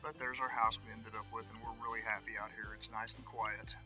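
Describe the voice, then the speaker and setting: A man's voice: lowish voice, level tone, brisk, sharp tone. A man giving a casual, folksy, conversational tour of his property outdoors, as a vlog host. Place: outdoors on wooded rural land. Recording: music behind the voice.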